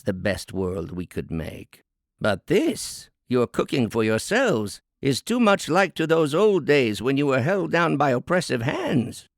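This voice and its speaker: A man's voice: mid-range voice, creaky, no echo, studio quality, pitched above the performer's own voice, heavily acted. A man voicing a haughty, scheming female harpy in a storybook fable, preaching with pompous, condescending, wheedling oratory. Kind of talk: dramatic storybook fable reading.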